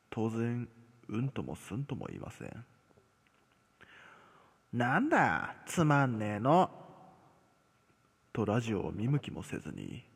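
A man telling a scripted horror story as narrator, measured, with dramatic pauses. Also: medium pitch, creaky, a little mellow, slightly rough. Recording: long cavernous reverb.

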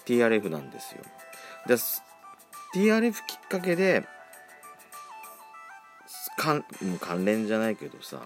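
Chatty low-voiced man hosting a podcast. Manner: chatty, campy feminine phrasing. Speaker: podcast host. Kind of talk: casual solo chat. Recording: faint background music.

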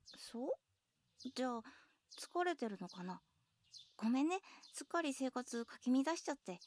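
A woman playing a young female roommate, caring but quick to blame, in an audio drama. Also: high voice, big pitch swings, a little mellow, slight background noise. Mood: gentle, concerned.

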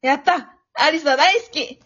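Woman playing a high-voiced, bubbly girl. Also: measured pace, a bit bright. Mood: eager, pushy. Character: bubbly, pushy, affectionate teenage girl. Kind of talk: amateur short radio drama acting. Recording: dull, lo-fi audio.

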